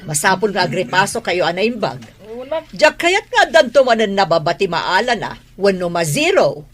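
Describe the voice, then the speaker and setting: Woman as no-nonsense female teacher, animated delivery, radio play, faint music behind. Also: mid-range voice, normal speed, lightly acted. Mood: strict. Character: strict, no-nonsense female schoolteacher. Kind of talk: radio drama acting.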